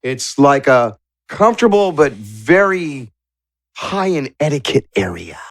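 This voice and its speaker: A man narrating a tabletop game as game master, searching for words in drawn-out descriptive phrases. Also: mid-range voice, big pitch swings, no echo, clean studio sound.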